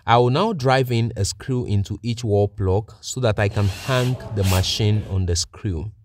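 Low-voiced man narrating a how-to. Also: a little slow, background noise. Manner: methodical, plain-spoken. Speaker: technician presenting a how-to tutorial. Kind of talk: step-by-step instructional narration.

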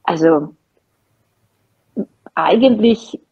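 A woman's voice: on the low side, animated, extremely slow, breathy edge, dull audio. A middle-aged woman speaking casually as a guest in a podcast conversation. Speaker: podcast guest, hobby knitting creator. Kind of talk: casual chat. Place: small home room at a table, indoors.

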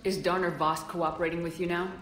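A woman's voice: fairly low pitch, flat delivery, fast, fairly bright tone, close to an everyday voice. A woman playing a tough, composed military commander in a video game, with a dry, confident delivery. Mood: composed, firm. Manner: dry, self-assured. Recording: roomy, faint music behind.

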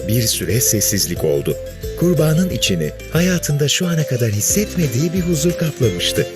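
Male narrator, children's story, animated intonation, mid pitch, background music. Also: normal speed.

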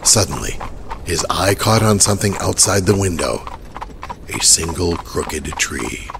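A man's voice: sits low, big pitch swings, unhurried, very noisy. A man narrating a horror audio drama in a dramatic, scripted storytelling style. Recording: clear horse and carriage ambience behind.

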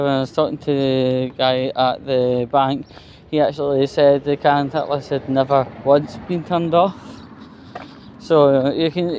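A man's voice: medium pitch, a little mellow, slight background noise. A man giving a casual, rambling walking vlog monologue, sweary and weary, recorded on a phone outdoors. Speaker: vlogger. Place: walking outdoors along a residential street. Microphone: handheld phone. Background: street ambience, passing vehicles.

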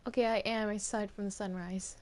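A woman's voice: mid-range voice, steady tone, brisk, background noise, close to an everyday voice. A woman playing a needling, insistent villainess in an improvised Minecraft roleplay.

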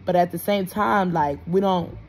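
A woman's voice: fairly low pitch, moderate pace, a little hiss or hum. A woman, telling a slangy, gossipy story casually to a phone held close in a hotel room. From Houston, United States. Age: 20s. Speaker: vlogger. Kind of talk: casual storytime chat to camera. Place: bedroom-sized hotel room, indoors.

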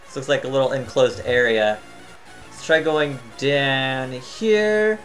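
A tired man giving casual, chatty commentary as he plays a video game, thinking out loud and humming to himself. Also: mid-range voice, slow, soft background music. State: tired, voice near cracking. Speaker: gaming channel host.

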